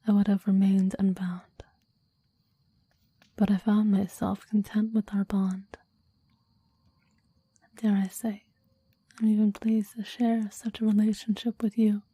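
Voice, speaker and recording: A woman playing a clingy, deadly demon devoted to a master, in a breathy ASMR roleplay whisper with formal, old-fashioned phrasing. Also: medium pitch, level tone, measured pace, pauses often, warm tone, no echo. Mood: reverent, menacing. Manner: formal, archaic, reverent phrasing.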